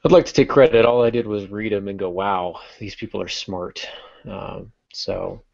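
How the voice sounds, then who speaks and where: A man's voice: on the low side, fairly even intonation, moderate pace, creaky, a little mellow, no room sound, muffled recording. A man hosting a casual podcast panel discussion, talking conversationally as a writer among colleagues. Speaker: podcast host and novelist.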